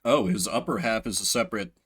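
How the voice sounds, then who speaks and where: A man's voice: on the low side, fast, a bit bright, husky. A man giving playful, theatrical commentary as he streams a game, reading its text aloud.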